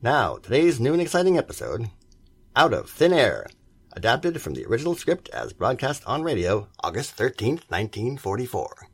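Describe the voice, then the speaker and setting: A man announcing a drama episode in scripted 1940s radio style, with a gravelly voice. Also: medium pitch, big pitch swings, moderate pace.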